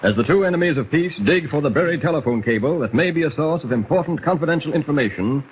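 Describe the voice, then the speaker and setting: A man's voice: a little high, expressive, fast, close to an everyday voice. A man narrating a 1940s radio serial as its announcer, formal, dramatic and emphatic to build suspense. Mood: expository. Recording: dull, slightly noisy 1940s radio recording.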